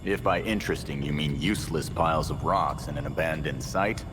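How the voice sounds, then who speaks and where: An actor playing a gruff, impatient young male vigilante in a video game, with blunt, sarcastic delivery. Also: a bass voice, a little fast, background noise. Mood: sardonic. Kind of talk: video game cutscene acting.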